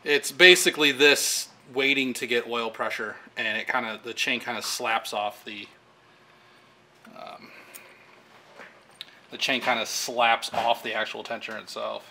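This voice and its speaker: A man in his thirties talks through a repair as he works, loose and chatty with plenty of fillers. His voice is bright and forward. A faint hum of shop noise sits underneath. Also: mid-range voice, steady tone, measured pace, pauses often. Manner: casual, explanatory, frequent fillers while working. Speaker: engine shop technician. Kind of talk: hands-on how-to demonstration. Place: engine workshop with pegboard wall, indoors.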